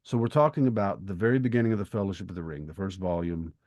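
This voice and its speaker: A man in his fifties co-hosting a podcast, talking reflectively and thoughtfully with pauses between phrases. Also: deep voice, a little mellow, dry recording. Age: 50s. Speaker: podcast co-host. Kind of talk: reflective conversational podcast talk.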